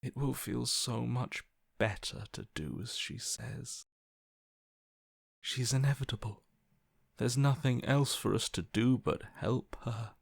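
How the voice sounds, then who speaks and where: A broken man's inner voice from a dramatic video game. He is servile and worshipful, pleading in a hushed, breathy, defeated way. The sound is dry and close. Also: fairly low pitch, no room sound, full character voice.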